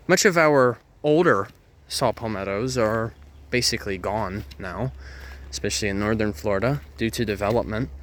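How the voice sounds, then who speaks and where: Guy slowly walking you through plants outdoors, jokey, laughs at his own puns. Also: on the low side, fairly bright tone, a little hiss or hum. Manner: chatty, self-amused with puns and asides. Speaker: nature guide. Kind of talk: outdoor educational walk-and-talk. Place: outdoors in a Florida hammock woodland.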